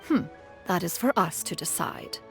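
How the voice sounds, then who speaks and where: Dignified immortal auntie, creaky and formal. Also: female voice, fairly low pitch, expressive, over loud music. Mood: calm, authoritative. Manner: formal, stately, measured wording. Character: dignified, composed immortal auntie, proud and protective. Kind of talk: video game voice acting.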